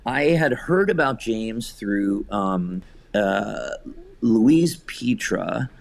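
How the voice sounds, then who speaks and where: A man's voice: fairly low pitch, animated, drawn-out words, gravelly. A man speaking as an interview guest, telling casual anecdotes in an enthusiastic, effusive way. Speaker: podcast guest, theatre director.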